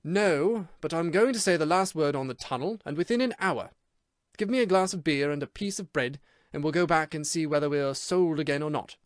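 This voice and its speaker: A man's voice: on the high side, a little fast, no echo, clean studio sound, pitched above the performer's own voice, natural voice. A man doing a teasing Irishman with rolled R's. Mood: eager. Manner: rolled R's, mock-patient. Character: teasing Irish man, the narrator's old friend.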